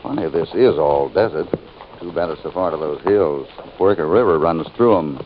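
An actor playing a steady, principled cowboy hero with an American accent, firm and measured, in old-time radio western style. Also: male voice, extremely low pitch. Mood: thoughtful. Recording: muffled, noisy old radio recording.

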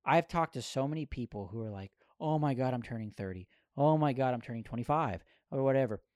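A man in his thirties speaks at an average pitch in a very dry recording. His delivery is casual podcast chat, earnest and rambling. He repeats phrases as he builds a point and drops in swear words freely. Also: expressive, a little fast, darkish tone, dry recording. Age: 30s.

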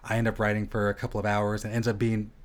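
Relaxed American podcast host. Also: male voice, on the low side, very fast, slight background noise. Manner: loud theatrical intro, then relaxed and rambling. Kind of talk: casual interview chat. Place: Las Vegas home studio.

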